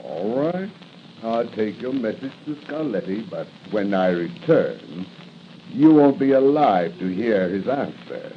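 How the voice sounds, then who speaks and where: Mocking gangster thug, sneering, threatening, muffled 1940s radio sound, faint hiss. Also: male voice, on the low side, sing-song, slow pace, exaggerated voice. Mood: mocking menace. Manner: sneering, taunting. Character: menacing, mocking gangster henchman.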